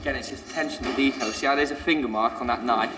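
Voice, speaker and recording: A man's voice: medium pitch, fast talker, over music. A man, a trainee supervisor, talking in an upbeat, self-assured way with restarts while he works. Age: 20s. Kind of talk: documentary interview and on-the-job talk. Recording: roomy space with clear background noise.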